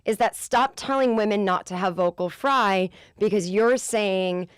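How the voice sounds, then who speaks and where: A woman in her forties hosting a podcast, with a low voice, ranting in an exasperated, profane way in a casual comedic monologue. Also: unhurried, smooth, dry recording, studio quality. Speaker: podcast host.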